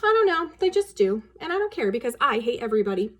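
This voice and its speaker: Woman acting a high, bratty tattletale little girl. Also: sing-song, fast. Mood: sullen. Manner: whiny, self-righteous. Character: bratty, smug nine-year-old tattletale girl. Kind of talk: children's storybook character voice.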